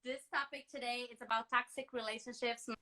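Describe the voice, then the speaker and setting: Bright, high-voiced woman hosting. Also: steady tone, fairly quick. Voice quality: warm, enthusiastic, restarts often. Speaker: host, journalist. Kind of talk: conversational interview.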